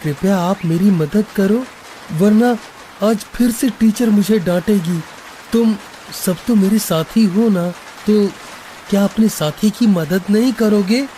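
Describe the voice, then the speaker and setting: A man's voice: high voice, slow pace, dark tone, a little hiss or hum, poor audio. A man voicing an anxious, pleading young schoolboy in a storybook horror tale, with an earnest delivery. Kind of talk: animated story dubbing in Hindi.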